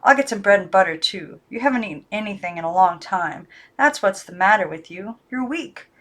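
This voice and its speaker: A woman voicing a frightened young girl who coaxes, then desperately shouts at her dolls. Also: medium pitch, lively intonation, a little fast, lightly acted. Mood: coaxing. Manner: coaxing, then frantic and shouting.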